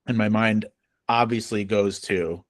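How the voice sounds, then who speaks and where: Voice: medium pitch, fairly even intonation, a little slow, no room sound. Playful podcast co-host, close dry sound. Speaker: book club podcast co-host. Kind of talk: casual banter.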